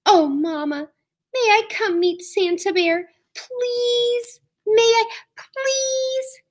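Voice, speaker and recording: A woman's voice: extremely high pitch, voice pushed up, heavily acted. A woman voicing an eager, pleading little girl bear cub in a storybook, stretching out her words. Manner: eager, begging, drawn-out words.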